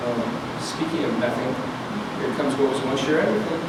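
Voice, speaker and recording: A man's voice: mid-range voice, brisk, natural voice. A man playing a crude, defensive, resentful teenage boy in a staged script reading. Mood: bitter. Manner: crude, indignant. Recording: distant echoey room, steady background noise.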